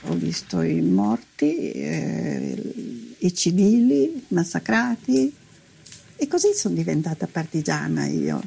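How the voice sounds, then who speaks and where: A woman's voice: lowish voice, wildly swooping pitch, slow, gravelly, dark tone, a little hiss or hum. A woman recounting wartime memories as a former partisan. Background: music.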